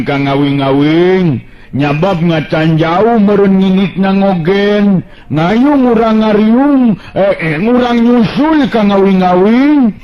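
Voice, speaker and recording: A storyteller voicing a timid young village man with a nasal, lisping way of speaking. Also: fairly high pitch. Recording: dull lo-fi sound.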